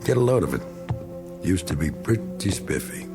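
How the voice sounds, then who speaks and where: An actor playing a bitter, self-pitying middle-aged American war veteran in a radio drama, talking in a folksy, rambling, needling way. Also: male voice, deep voice, sing-song, normal speed, vocal fry, over music, full character voice. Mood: nostalgic, self-pitying.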